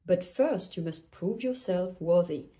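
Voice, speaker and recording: A woman's voice: fairly low pitch, lively intonation, unhurried, warm tone. A woman voicing a regal, teasing fairy queen with a gracious but condescending manner in a storybook.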